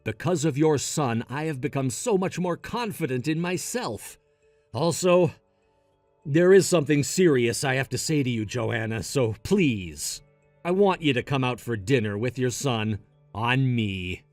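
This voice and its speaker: A man's voice: medium pitch, lively intonation, a little slow, darkish tone, no echo, soft background music, close to an everyday voice. A man playing an earnest, sentimental family friend in a melodramatic audio story, speaking with heartfelt sincerity. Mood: earnest, hopeful.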